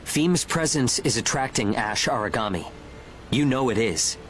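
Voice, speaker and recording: A man's voice: mid-range voice, animated, moderate pace, fairly bright tone, slight background noise, close to an everyday voice. An actor playing an earnest, determined young man in a dramatic video game scene, speaking persuasively. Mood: pressing, assertive. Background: music.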